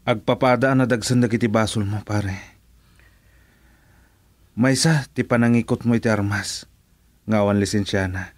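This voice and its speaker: An actor with a Filipino accent playing an earnest, reasoning friend in a radio drama. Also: male voice, fairly low pitch, fairly even intonation, moderate pace, long pauses, no room sound, natural voice. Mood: reproving, concerned. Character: earnest, reasoning male friend urging forgiveness.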